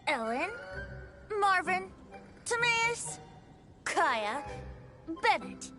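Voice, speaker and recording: A woman's voice: extremely high pitch, big pitch swings, extremely slow, music in the background, full character voice. A woman voicing a boyish male bard in a game, playful and crafty, with a theatrical, mock-formal teasing manner. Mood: grand, jovial. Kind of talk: animated game voice acting.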